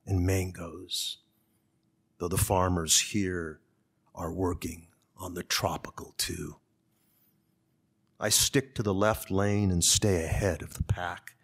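Slow, low-voiced older man. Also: fairly bright tone. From California's Central Valley. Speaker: author and journalist speaker. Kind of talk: public talk and literary reading. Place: conference room. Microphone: gooseneck podium microphone.

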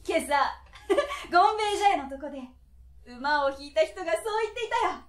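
A woman voicing an eager, excitable schoolboy from a storybook in an animated, exclamatory manner. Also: sits high, a little fast, voice pushed up. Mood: amused. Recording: roomy stage, distant mic, harp music.

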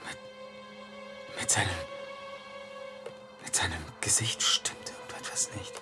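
An actor playing an uneasy, dog-tired, grumbling man in an audio thriller, speaking in a hushed, halting, breathy way with wry asides. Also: music in the background, close to an everyday voice.

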